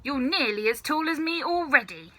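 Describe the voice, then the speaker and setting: A woman's voice: high voice, sing-song, normal speed, pushed and bright, pitched above the performer's own voice, exaggerated voice. A woman voicing a nasty, bossy old grandmother from a children's storybook, snapping commands and savouring key words. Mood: scolding, bossy. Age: older. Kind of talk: children's storybook character reading.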